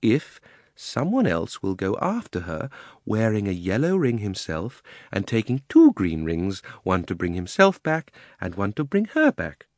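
A man's voice: lowish voice, wildly swooping pitch, measured pace, no room sound, studio quality, heavily acted. A man voicing a pompous, cruel old uncle who is a self-important magician in a storybook, with a haughty, indignant, condescending manner. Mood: sly, smug. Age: older. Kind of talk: dramatised storybook reading.

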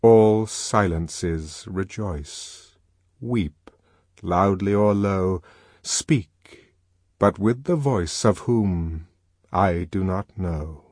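A man playing a solemn male poet who recites his own verse with deliberate, incantatory pauses in an audiobook. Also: low voice, darkish tone, no room sound, studio quality, exaggerated voice. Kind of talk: poetry recitation within an audiobook.

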